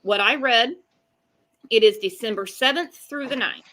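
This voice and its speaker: A woman's voice: a little high, unhurried, heavy background noise. A woman co-hosting a live true-crime chat show, talking in a blunt, emphatic, colloquial way. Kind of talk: casual opinionated chat.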